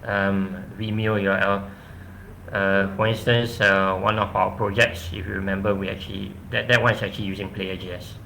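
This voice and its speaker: A Malaysian man talks casually into a laptop mic, low-pitched but bright and forward. He leans on fillers and restarts. A faint hiss sits under his voice. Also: level tone, moderate pace, gravelly, rough recording. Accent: Malaysian English. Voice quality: bright, chatty, many fillers. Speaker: presenter. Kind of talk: casual chat in an online event.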